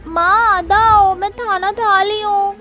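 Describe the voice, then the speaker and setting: Squeaky, babyish little girl. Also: steady tone, slow pace, sharp tone, soft background music, heavily acted. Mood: playful, eager. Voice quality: babyish, childlike diction. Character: playful little five-year-old girl. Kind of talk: Hindi cartoon story voice acting.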